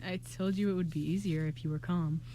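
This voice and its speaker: Woman as courteous, calm male magician, faint noise. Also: fairly low pitch, fairly quick, lightly acted. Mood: calm, soothing. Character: Alder, polite, eccentric male magician teleporter. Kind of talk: amateur radio play acting.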